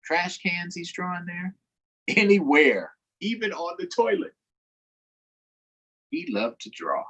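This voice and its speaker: Animated, husky man hosting children's storytime. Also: sits high, slow pace. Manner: addresses young viewers directly. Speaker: storytime host, councilmember. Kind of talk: children's read-aloud and live chat.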